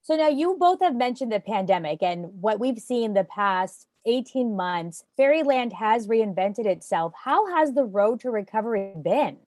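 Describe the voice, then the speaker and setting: A woman's voice: on the high side, lively intonation, moderate pace, few pauses, darkish tone. A woman hosting a conversational interview, putting questions to her guests. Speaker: interviewer, host.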